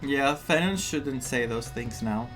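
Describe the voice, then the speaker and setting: A game streamer offers casual commentary at a slow pace. The voice is mid-pitched and musing, often in short murmured asides, with soft music behind. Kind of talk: casual reaction commentary over a story game.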